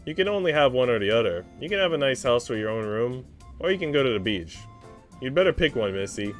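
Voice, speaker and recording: A man voicing a calm, teasing grown-up in a visual novel, gently firm and playful with a child. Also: mid-range voice, fast talker, soft background music, lightly acted.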